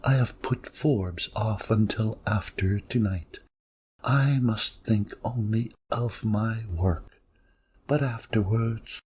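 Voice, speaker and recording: A man playing a passionate, defiant actress in a dramatic audiobook reading, with slow, melodramatic, drawn-out emphasis. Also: fairly low pitch, darkish tone, a little hiss or hum. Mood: subdued, strained. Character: passionate, defiant stage actress, devoted to her lover.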